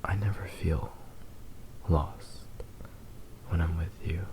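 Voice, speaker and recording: A man playing a possessive, devoted young fox spirit lover in a breathy, soothing whispered ASMR roleplay. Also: low-pitched, fairly even intonation. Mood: devoted, adoring. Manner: slow, tender, soothing, intimate.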